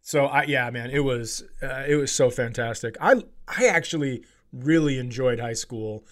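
A man's voice: mid-range voice, normal speed, a bit bright. A man hosting a comedy podcast, telling stories in a loose, sweary, casual chat. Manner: loose, swearing, laughs readily. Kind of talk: casual comic storytelling chat.

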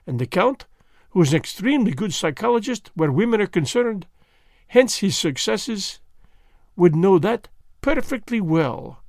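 A man's voice: high voice, a little mellow, no echo, voice pushed up, full character voice. A man reading the part of a fussy, vain elderly Belgian detective who speaks precisely and drops French words.

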